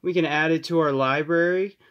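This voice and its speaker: Higher-pitched man giving a casual tech demo. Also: steady tone, a little fast, highs cut off. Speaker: tech tips video host. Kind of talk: casual hands-on app demonstration. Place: indoors at a desk.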